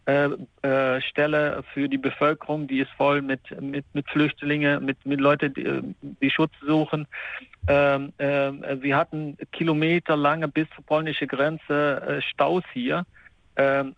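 A man from the Netherlands, speaking German haltingly with many fillers and restarts in a remote interview. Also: a little high, no echo, lo-fi sound. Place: apartment.

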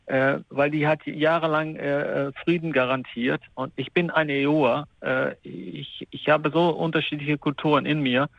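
A man from the Netherlands, speaking German haltingly with many fillers and restarts in a remote interview. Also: on the high side, vocal fry, no room sound, lo-fi sound. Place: apartment.

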